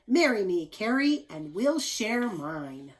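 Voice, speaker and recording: A woman's voice: medium pitch, very animated, slow pace. Woman voicing giant storybook lumberjack man, eager, emphatic, grinning, tall-tale read-aloud. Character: giant strong male lumberjack, grinning and keen.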